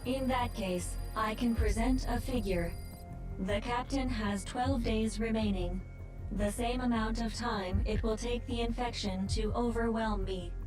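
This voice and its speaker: An actress voicing a courteous female artificial intelligence who speaks in a calm, formal, caring way. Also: a little high, unhurried, over music, close to an everyday voice. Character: polite female AI companion, composed and caring. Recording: distant voice under loud orchestral score.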